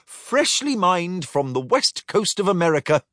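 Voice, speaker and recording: Man putting on a high, fussy storybook robot brain, prim. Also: very animated, measured pace, fairly bright tone, dry recording, clean studio sound, exaggerated voice. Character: prim, clever robot pirate quartermaster.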